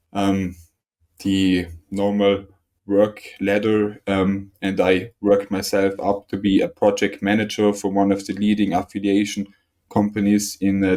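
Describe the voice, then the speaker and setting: Young founder with a low, dark voice. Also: male voice. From northern Italy. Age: 20s. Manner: earnest, methodical, frequent ums. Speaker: startup founder guest. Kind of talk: podcast interview answers.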